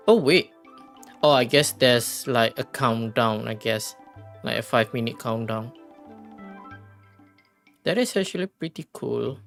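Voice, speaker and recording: Slow, creaky-voiced streamer thinking aloud. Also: mid-range voice. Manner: rambling, halting, thinking aloud. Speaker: game livestreamer. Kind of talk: casual gaming stream chat.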